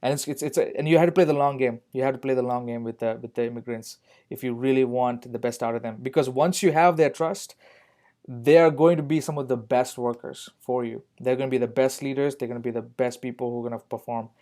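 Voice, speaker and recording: A man's voice: medium pitch, fairly even intonation, quick pace, warm tone. A man speaking as a podcast guest, earnest, repeating key points for emphasis. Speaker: guest on a youth ministry podcast. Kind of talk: reflective podcast conversation.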